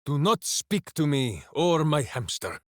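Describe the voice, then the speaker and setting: A man's voice: on the high side, big pitch swings, unhurried, dry recording, clean studio sound. A man voicing a big-hearted, simple-minded heroic warrior in a video game, bombastic and earnest, speaking of himself in the third person. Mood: indignant. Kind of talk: video game character voice acting.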